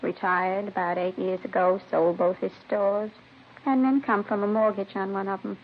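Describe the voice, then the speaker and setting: A muffled, faintly hissy vintage radio recording of an actress as a matronly middle-aged woman. She rambles in a chatty, folksy manner, with plenty of creak in her voice. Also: medium pitch, steady tone, moderate pace, natural voice. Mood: wistful, pitying. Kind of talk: 1950s radio drama acting. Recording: dull old radio recording with hiss.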